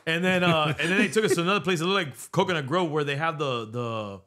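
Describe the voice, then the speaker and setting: Animated middle-aged man telling stories. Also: fairly high pitch, fast talker. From United States. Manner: animated storytelling, swears freely, drops Spanish words. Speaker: podcast host. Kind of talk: casual chat. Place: podcast studio. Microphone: studio mic on boom arm.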